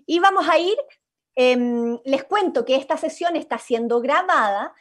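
A woman's voice: sits high, animated, measured pace. A young woman with a Chilean accent, guiding a workshop on a video call in an encouraging, informal way. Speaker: radio theatre workshop leader. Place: indoors at home, on a video call.

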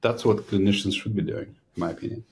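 Low-voiced man in his sixties, animated physician host, close desk mic. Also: fast, a little hiss or hum. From Ukraine. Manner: animated, explanatory. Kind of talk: interview conversation. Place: small studio room with a banner. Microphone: desk podcast mic.

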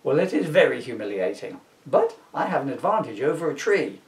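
A man's voice: medium pitch, very animated, fairly quick, echoey room, far from the mic. A man voicing an eager, indignant female TV news reporter in a comic storybook reading, earnest and chatty.

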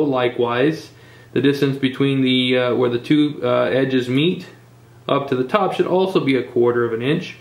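A welding instructor explains a weld step by step in his workshop and speaks to the viewers at home. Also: mid-range voice. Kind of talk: on-camera workshop demonstration. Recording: roomy workshop sound.

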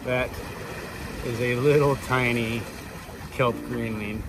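Young male outdoor vlogger, eager and exclaiming, camera mic with clear outdoor noise behind. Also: medium pitch, fairly even intonation, slow pace. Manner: enthusiastic, exclaiming. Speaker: fishing vlogger. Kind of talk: casual outdoor vlog narration. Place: outdoors on a coastal jetty and grassy shore. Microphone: camera mic at arm's length. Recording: outdoor noise from wind and surf.

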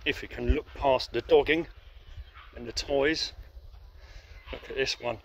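A man's voice: medium pitch, unhurried, a bit bright, slight background noise. A middle-aged man giving casual, wry walking commentary outdoors on a handheld phone, swearing now and then. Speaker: graffiti vlogger. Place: outdoors by a graffiti wall and woodland. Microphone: handheld camera phone.

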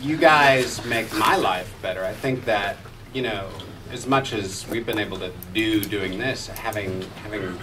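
A man's voice: fairly low pitch, measured pace. A male voice actor on a convention panel, playful and grateful. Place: large convention hall. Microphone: table mic, far camera. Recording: distant camera, loud hall noise.

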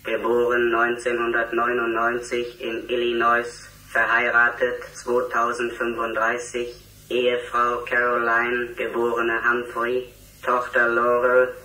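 An actor playing an emotionless electronic robot speaker in a radio drama, reciting file data in a mechanical staccato. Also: male voice, mid-range voice, monotone, a little slow, bright, forceful tone, echoey room, recorded from a distance, low-quality recording. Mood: flat, emotionless.

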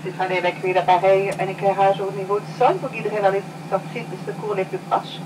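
A woman giving a scripted airline safety announcement in a measured, formal way, heard over the cabin speakers of an airplane. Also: medium pitch. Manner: measured, formal, even delivery. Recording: distant cabin speaker with engine hum.

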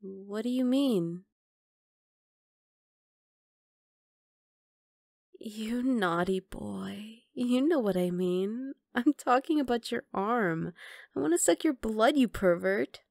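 Woman acting a high-voiced, teasing vampire girl. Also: expressive, takes it slowly, gravelly, a little mellow, no echo, studio quality, exaggerated voice. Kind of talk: ASMR roleplay.

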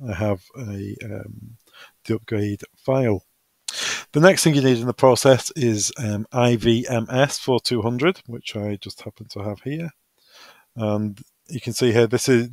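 A man working as a technical trainer, talking through a software tutorial step by step in an informal, hesitant way, as if thinking aloud. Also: medium pitch, no echo.

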